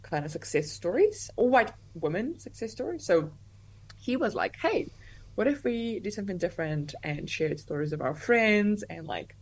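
A woman's voice: mid-range voice, lively intonation, moderate pace, slightly rough. A young woman, a guest chatting casually in an interview, full of "like" fillers and easy laughter. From Russia. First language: Russian.

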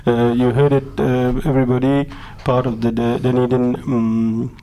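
A man presents a live community radio show from a studio. His voice is mellow and relaxed in tone, at a middling pitch. He talks effusively, repeating words for emphasis as he brings in guests. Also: normal speed, a little hiss or hum, poor audio. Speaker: radio host.

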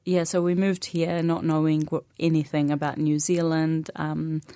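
Palestinian woman, native arabic speaker, young adult, low voice, soft-spoken, many pauses, dry studio sound. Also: dry recording. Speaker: interview guest. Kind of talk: radio interview conversation.

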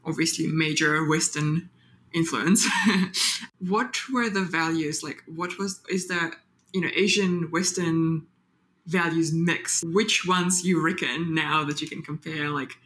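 A woman hosting a podcast from home, asking relaxed questions full of "like". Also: sits low, slow pace, slight background noise. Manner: relaxed, filler-heavy questions. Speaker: podcast host. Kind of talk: casual podcast chat.

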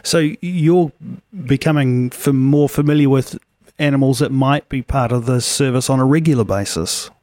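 A man's voice: medium pitch, moderate pace, darkish tone, no room sound. A man hosting a radio interview, asking friendly questions with small restarts. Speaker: radio host.

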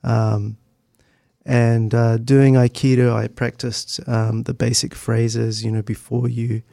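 A man's voice: medium pitch, little pitch movement, creaky, mellow, no echo, studio quality. A middle-aged man with a New Zealand accent, reminiscing conversationally and hesitantly as an interview guest. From Christchurch, New Zealand. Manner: hesitant, halting, thoughtful delivery.

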